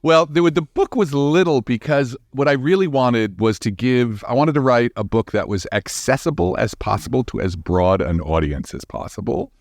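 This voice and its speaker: A man's voice: medium pitch, expressive, moderate pace, hardly any pauses, dry recording, clean studio sound. A man speaking informally as a guest in a conversational interview, rephrasing as he goes. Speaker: podcast guest, astrophysicist and author.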